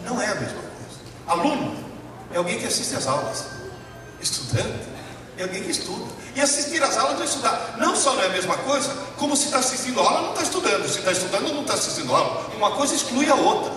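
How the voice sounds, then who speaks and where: A man's voice: very high-pitched, sing-song, fast talker, crowd noise. Emphatic Italian-accented man lecturing in a hall. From Italy. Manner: emphatic, rhetorical questions, addresses the audience directly. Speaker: professor giving a lecture. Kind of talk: public lecture to a live audience. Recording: echoey hall, distant mic, noisy.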